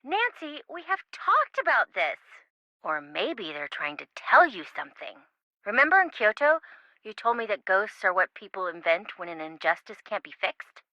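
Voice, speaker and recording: A woman's voice: medium pitch, big pitch swings, moderate pace, bright, forceful tone, dry recording, lo-fi sound. An actress playing a sassy young woman, a wisecracking best friend in a video game, with a teasing, sarcastic manner. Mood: wry, playful. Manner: teasing, sarcastic, dryly exaggerated.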